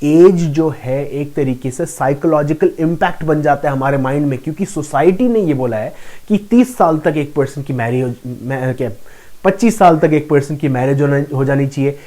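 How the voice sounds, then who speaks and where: A man's voice: a little high, normal speed, mellow. A man giving a motivational self-help lesson, explaining steadily and repeating key phrases for emphasis, with English phrases mixed into his Hindi. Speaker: law of attraction coach. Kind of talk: motivational talk to camera.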